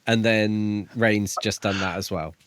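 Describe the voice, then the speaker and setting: A man's voice: fairly low pitch, fairly even intonation, unhurried, fairly bright tone, no echo. A man co-hosting a podcast, talking through a book casually in a reflective, conversational, slightly rambling way. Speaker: podcast co-host.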